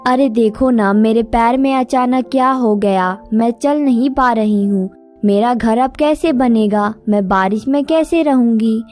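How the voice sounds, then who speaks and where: A woman's voice: fairly high pitch, unhurried, a little mellow, close to an everyday voice. A woman voicing a lazy, selfish bird auntie with a theatrical, whiny delivery in a children's cartoon story. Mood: fake whining. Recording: music behind the voice.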